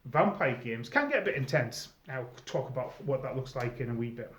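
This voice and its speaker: A man's voice: medium pitch, lively intonation, a little fast, a bit bright. A middle-aged man giving informal, conversational advice as a presenter explaining things to newcomers. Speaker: presenter, former game storyteller. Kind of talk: informal advice video monologue. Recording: echoey room, slightly dull sound.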